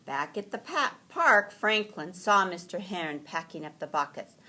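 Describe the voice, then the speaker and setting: A woman reading a children's story aloud in an animated, deliberate storytelling manner. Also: lowish voice.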